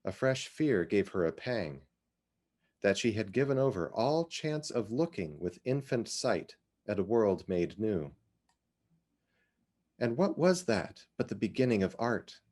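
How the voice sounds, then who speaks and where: A man giving a dramatic reading of literary fiction, with expressive and deliberate phrasing. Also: lowish voice.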